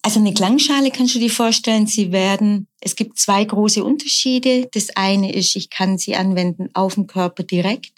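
A middle-aged woman, a nurse, explaining her practice in calm, measured interview answers. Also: medium pitch. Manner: calm, measured, often slow and deliberate. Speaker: nurse and sound bowl practitioner.

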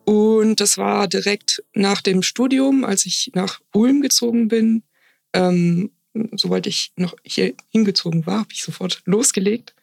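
A woman's voice: mid-range voice, a little slow, gravelly, a little mellow, no room sound, studio quality. A woman in her early thirties answering interview questions in a casual, conversational way. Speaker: podcast guest, hospice volunteer.

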